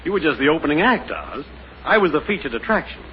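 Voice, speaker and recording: A man's voice: on the high side, very animated, fast, muffled recording. A man playing a wisecracking, self-pleased next-door neighbor in a radio sitcom, joking and laughing at his own gags. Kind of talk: 1950s radio sitcom acting. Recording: scratchy 1950 radio broadcast, loud hiss.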